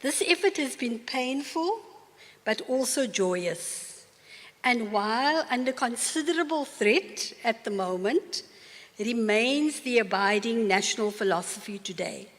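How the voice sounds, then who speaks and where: An older woman with a South African accent giving a formal acceptance speech in a large university hall, measured and earnest. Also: on the high side, expressive, a bit bright. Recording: long reverb of a large hall.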